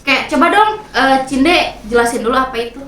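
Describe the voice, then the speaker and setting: Young woman host, higher voice, chatty questioner, roomy distant sound. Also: animated, fairly quick, a bit bright, a little hiss or hum. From Indonesia. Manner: chatty, asks questions, many fillers. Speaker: podcast host. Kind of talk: casual chat in a group. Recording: echoey room, mic far off.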